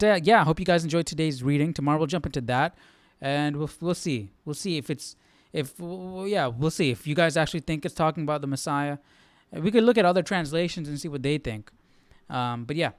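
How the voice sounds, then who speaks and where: A man with a smooth, clear voice in a dry, close-sounding space, hosting a casual live study. He talks informally, working through his thoughts aloud and often checking that the listener follows. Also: a little high, dry recording. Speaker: host of a live Bible study stream. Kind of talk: casual live commentary on scripture.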